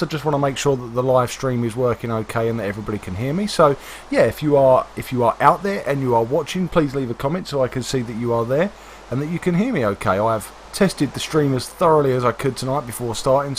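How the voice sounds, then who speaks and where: Hoarse, congested man streaming a game. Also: mid-range voice, quick pace, slight background noise. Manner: chatty, rambling, many "uh" fillers. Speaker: livestream host. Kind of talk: casual gameplay commentary.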